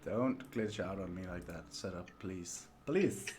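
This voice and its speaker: Low-voiced man chatting on a gaming stream. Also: a little slow, a little mellow, a little hiss or hum. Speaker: livestream host and sim racer. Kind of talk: casual livestream gaming chat.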